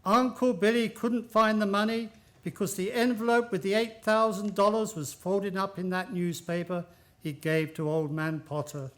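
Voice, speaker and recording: A man's voice: high-pitched, fairly even intonation, moderate pace, a bit bright. A man playing an earnest storyteller, narrating in a radio play. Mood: grave. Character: earnest male storyteller recounting events.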